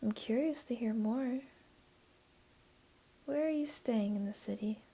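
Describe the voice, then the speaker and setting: Casting: woman to play teasing, doting jaguar girl for ASMR roleplay; soft, mellow, whispery, slightly high voice. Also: a little slow. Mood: gentle, admiring. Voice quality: soft, breathy, often whispery. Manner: teasing, cooing, soothing. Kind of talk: ASMR roleplay, intimate one-to-one.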